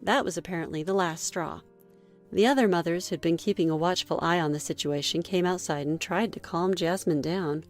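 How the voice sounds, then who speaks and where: A woman reading a scripted first-person story in a measured read-aloud manner. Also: on the low side, faint music behind. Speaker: story narrator.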